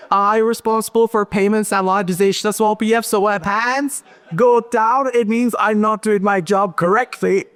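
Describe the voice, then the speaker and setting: Upbeat guy doing a tech talk on stage, fairly high voice, joking with the crowd, big hall echo. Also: unhurried, clean tone. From Pakistan and Switzerland. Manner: lively, joking with the audience. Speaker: conference speaker. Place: large conference hall stage. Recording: hall reverb.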